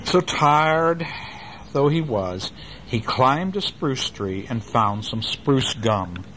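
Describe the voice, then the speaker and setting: An older man reading a children's book aloud at home and chatting gently with a child between passages. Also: mid-range voice, very animated, takes it slowly, background noise. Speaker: father reading a bedtime story. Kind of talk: bedtime story reading to a child.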